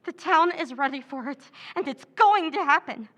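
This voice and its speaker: An actress playing Pamela, a cold, commanding woman in an old-time radio drama who gives clipped orders and plots in hushed tones. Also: high voice, animated, moderate pace. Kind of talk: 1940s radio drama acting.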